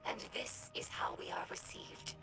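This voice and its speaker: A woman voicing an earnest, gentle young female alien scribe in a video game, narrating lore in a measured, formal, reflective way with a breathy voice. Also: whispered, over loud music, lightly acted, low-quality recording. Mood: sober, resigned. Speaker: scribe recording her house's history.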